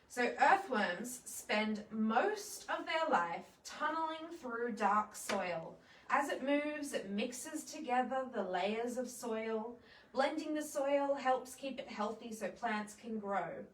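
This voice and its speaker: Woman doing kids storytime, high voice, simple explaining with questions, echoey room. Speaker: children's storytime presenter. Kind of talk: children's storytime with songs and read-alouds. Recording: roomy echo, distant microphone.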